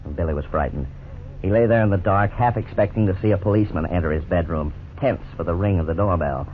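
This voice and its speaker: A thin, lightly noisy vintage radio recording carries a male actor as a lawyer-narrator. His low voice is earnest and didactic, with measured, scripted authority in 1950s style. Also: phone-quality sound, natural voice. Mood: earnest, explanatory.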